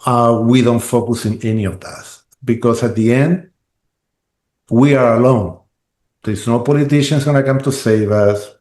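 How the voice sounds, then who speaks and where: A man's voice: medium pitch, normal speed, a little mellow, echoey room. A man, a guest on a podcast interview who rambles with restarts and keeps asking "right?". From Chile.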